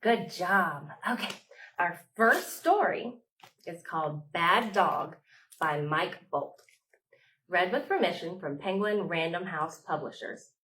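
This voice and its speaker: A woman's voice: fairly low pitch, takes it slowly, a bit bright, distant mic, slight background noise, poor audio. A woman hosting storytime as a children's librarian, reading aloud and talking to small children in simple short phrases.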